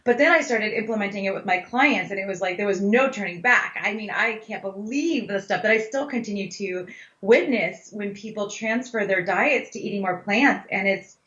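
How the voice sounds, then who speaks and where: A woman's voice: mid-range voice, normal speed. Emphatic woman in a roomy, dull recording. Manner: emphatic, animated phrasing with repetition for stress. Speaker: interview guest, plant-based dietitian. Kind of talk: conversational interview answers. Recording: roomy, slightly dull sound.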